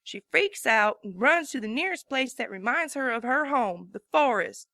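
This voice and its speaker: A woman's voice: on the high side, animated, normal speed, pushed and bright, no room sound. A woman voicing a determined female farm pony who talks in a folksy, explaining way. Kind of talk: fan audiobook reading.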